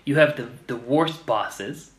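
A man in his thirties from Israel, speaking as a podcast guest in short, halting, thoughtful phrases. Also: medium pitch. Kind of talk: conversational interview. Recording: roomy echo.

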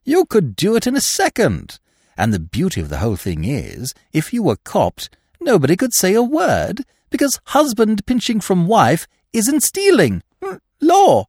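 A man's voice: high voice, huge pitch swings, a little slow, darkish tone, slightly rough, no room sound, clean studio sound, voice pushed up, exaggerated voice. A man voicing a breezy, cheerfully scheming upper-class young Englishman in a comic audiobook, eager and full of slangy exclamations. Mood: eager persuasion. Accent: British. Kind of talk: comic audiobook character reading.

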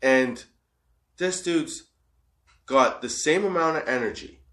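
A man's voice: medium pitch, takes it slowly, a bit bright. Profane, rambling stoner man in echoey room. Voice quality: profane, laughs often. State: high on cannabis. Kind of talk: rambling casual monologue.

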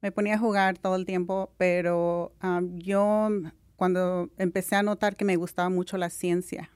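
Mexican-accented woman, middle-aged, smooth flat low delivery, relaxed guest with fillers. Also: normal speed, no echo. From Mexico (Jalisco). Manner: relaxed, many "am" fillers, mixes English words. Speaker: guest, registered nurse. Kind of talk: casual interview.

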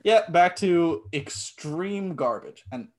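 A man's voice: fairly high pitch, animated, slow pace. A man co-hosting a casual podcast chat, blunt, sarcastic and often profane. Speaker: podcast co-host. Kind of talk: casual chat reviewing a film.

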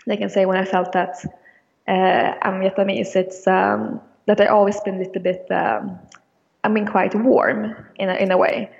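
A woman's voice: mid-range voice, level tone, normal speed, vocal fry, in a large hall, dull audio. A young woman who grew up in Sweden, answering interview questions casually and hesitantly, with many fillers and restarts. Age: young adult. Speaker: interview guest.

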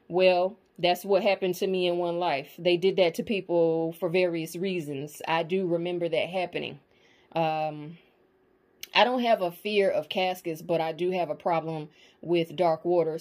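A woman's voice: lowish voice, a little mellow. A woman in a casual monologue to her viewers, emphatic and profane, often checking in with the audience. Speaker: spiritual vlogger.

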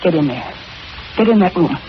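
A woman's voice: lowish voice, lively intonation, normal speed, lightly acted. An actress playing a frightened, grieving woman bent on avenging her sister, melodramatic and haunted, in an old-time radio mystery. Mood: vengeful, frantic. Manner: melodramatic, haunted, repeating herself. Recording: very noisy, muffled old radio recording.

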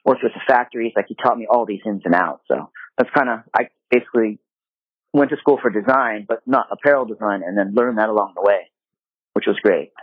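A man who grew up in Japan talks quickly and loosely in a casual interview, full of likes and you-knows. The sound is dry and squeezed, like a phone or call line, with no room around it. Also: on the low side, husky, dry recording, muffled recording. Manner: relaxed, talkative, lots of fillers. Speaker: guest, apparel designer.

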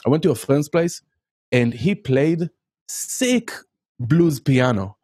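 A man's voice: medium pitch, takes it slowly, husky, dry recording. Israeli-accented man telling stories, dry sound. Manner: animated storyteller, many fillers and restarts. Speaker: guest. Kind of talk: casual interview chat.

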